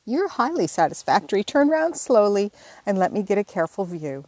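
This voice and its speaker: A woman's voice: medium pitch, very animated, brisk, a little mellow, no echo. A woman narrator puts on a fussy young sister who coaxes, scolds and gives orders in a slightly lifted, eager voice. The recording is close and dry, with no room around it. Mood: instructing. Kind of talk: audiobook character reading.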